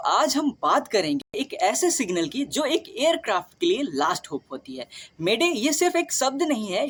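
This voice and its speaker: Indian woman narrating with lively, swinging pitch. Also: husky. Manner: addresses listeners directly with rhetorical questions. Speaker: video host. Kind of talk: scripted explainer narration.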